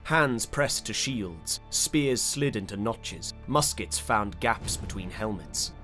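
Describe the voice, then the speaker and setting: Male narrator for dramatic fantasy audiobook: unhurried, intense, deliberate, on the deeper side. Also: animated, bright, forceful tone, faint music behind.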